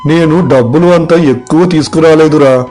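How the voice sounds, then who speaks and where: A man playing a direct, plain-spoken village man in an animated moral story. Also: fairly high pitch, moderate pace, faint music behind, natural voice. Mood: appreciative. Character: plain-spoken village men, eatery customers and boss.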